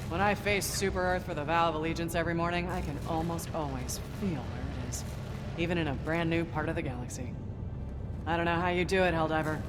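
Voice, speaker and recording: An actress playing an earnest, starry-eyed young starship service technician in a video game, chatty and naively patriotic. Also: female voice, on the low side, lightly acted. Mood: wistful zeal. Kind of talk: video game character voice lines. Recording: noisy starship engine ambience behind.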